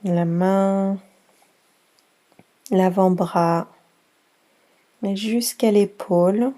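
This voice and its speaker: Female relaxation guide for kids, very slow and mellow, soft delivery with long pauses. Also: medium pitch. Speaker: yoga nidra guide.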